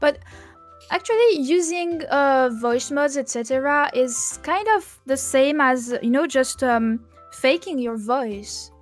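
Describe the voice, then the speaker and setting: High-voiced woman, halting casual chat. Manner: halting, repeats words and restarts often. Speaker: VTuber, podcast host. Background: background music.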